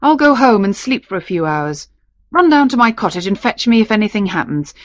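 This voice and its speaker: A woman's voice: mid-range voice, big pitch swings, fairly quick, no echo, lo-fi sound, close to an everyday voice. Woman voicing a cross, bossy old shepherd man. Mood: stern, bossy. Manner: stern rustic commands.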